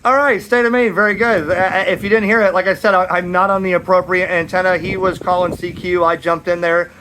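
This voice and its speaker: Higher-pitched American man, casual radio hobbyist. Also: steady tone, brisk, pushed and bright, low-quality recording. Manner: plain-spoken, informal. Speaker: radio hobbyist making videos. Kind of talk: casual chat and ham radio calls. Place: backyard by a metal shed, outdoors.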